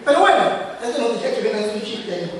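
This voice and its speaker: A man's voice: high voice, very animated, a little fast, a crowd in the background. A man preaching a sermon as a pastor, talking directly to his congregation in a conversational way. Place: church hall. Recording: echoey hall from far off.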